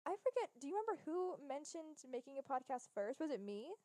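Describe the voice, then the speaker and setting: A young woman in her early twenties chatting as co-host of a podcast with her best friend. Her voice sits a little high and she laughs easily. The sound is dry and close. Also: sing-song, fairly quick, mellow, dry recording. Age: young adult. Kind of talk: casual chat.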